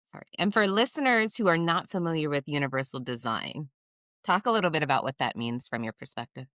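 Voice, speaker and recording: A woman's voice: sits low, lively intonation, brisk, fairly bright tone, no room sound, studio quality. A woman hosting an interview podcast, affirming and curious as she asks her guest questions. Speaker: podcast host. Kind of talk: interview host with a read ad.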